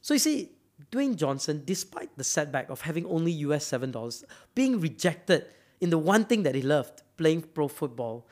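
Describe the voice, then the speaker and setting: A man's voice: fairly high pitch, very animated, normal speed, darkish tone. A man from Malaysia giving a motivational talk, emphatic and often repeating words as he builds up to a point. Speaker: speaker.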